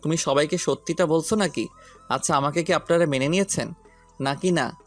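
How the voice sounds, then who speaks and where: A man's voice: fairly high pitch, fairly quick, natural voice. A man plays an impulsive young man in an audio story. He pleads and challenges with stubborn insistence, fiercely protective, over background music. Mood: insistent. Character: hot-headed young man, protective son and fiance.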